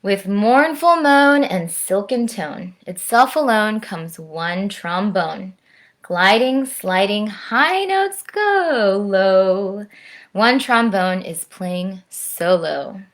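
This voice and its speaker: Slow-paced woman presenting children's storytime. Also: medium pitch. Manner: slow, expressive, addressing children directly. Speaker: storytime presenter, orchestra musician. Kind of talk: children's read-aloud and friendly chat to camera. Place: at home, indoors.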